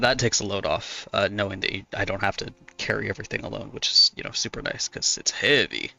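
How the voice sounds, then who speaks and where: A man chatting casually while he draws on a stream, his voice hoarse from talking a lot. He rambles, corrects himself and laughs often. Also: fairly low pitch, pushed and bright. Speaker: artist streaming while drawing. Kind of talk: casual chat answering viewers.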